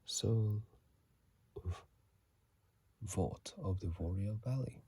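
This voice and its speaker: A video host whispering softly in a breathy, hushed voice, reading aloud and adding casual commentary in an ASMR style, close to a phone mic. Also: low-pitched, drawn-out words. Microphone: phone mic held close.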